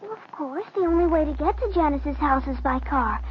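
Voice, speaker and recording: A pert, headstrong rich young girl in a vintage radio play. Her voice is high and light. The recording is dull and lo-fi with a faint hiss behind it. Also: lively intonation, fairly quick. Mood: pert, willful. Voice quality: pert, willful. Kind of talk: 1940s radio acting.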